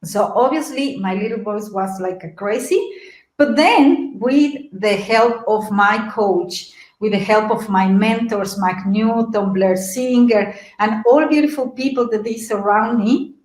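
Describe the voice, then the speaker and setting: A middle-aged woman from Mexico City with a Mexican Spanish accent, giving a lively, animated motivational talk on a webinar and asking her audience questions. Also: medium pitch, a little slow, echoey room, poor audio. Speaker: webinar presenter.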